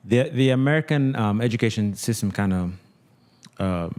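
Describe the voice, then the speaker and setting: A man's voice: on the low side, expressive, normal speed, darkish tone. A man who grew up between Nigeria and Jamaica, hosting a podcast and asking careful, attentive questions. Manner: attentive, many yeah-yeah backchannels, careful phrasing. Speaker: podcast host and physician. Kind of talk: casual interview questions.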